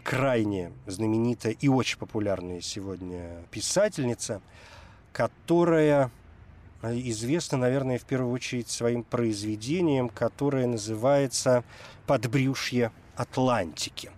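A male radio presenter with a medium-pitched voice. He speaks about books and tells tales expressively, as a storyteller would. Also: a little slow, a little mellow. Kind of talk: expressive storytelling and literary talk.

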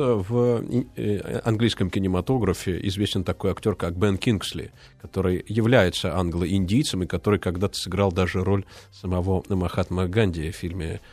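A man co-hosting a live radio talk show, speaking thoughtfully and pausing often with fillers. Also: sits low, a little mellow, no echo. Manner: thoughtful, hesitant with many fillers. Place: radio studio. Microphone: studio microphone.

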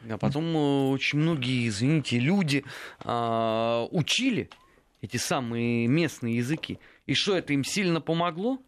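A man in a studio discussion who hesitates with many filler sounds, then speaks in animated bursts. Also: medium pitch, moderate pace.

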